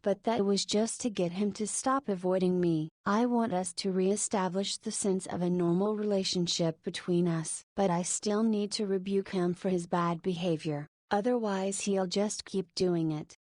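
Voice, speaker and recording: A single mother in her forties, played by a woman in a visual novel, who talks to herself as she thinks. Her voice sits at a middle pitch. The read is close and dry. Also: steady tone, normal speed, few pauses, darkish tone, no echo, natural voice. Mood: reflective. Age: 40s. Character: 40-year-old single mother, high school principal.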